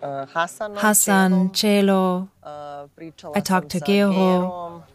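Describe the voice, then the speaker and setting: A woman talking gently and consolingly with her sister in a casual conversation. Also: medium pitch, fairly even intonation, drawn-out words. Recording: conversation with a crowd behind.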